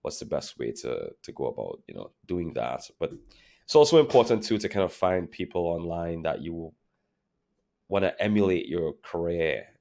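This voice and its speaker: Low, gravelly male guest from Trinidad and Tobago; relaxed, reflective, filler-heavy delivery. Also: moderate pace. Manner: relaxed, reflective, many fillers like "like" and "you know". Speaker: guest, creative director. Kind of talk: casual interview conversation.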